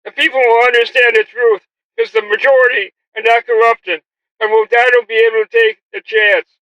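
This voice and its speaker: A man's voice: very high voice, level tone, moderate pace, sharp tone, no echo, lo-fi sound. A man delivering a confrontational, taunting monologue full of swearing and rhetorical questions. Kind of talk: profane taunting monologue addressed to an absent opponent.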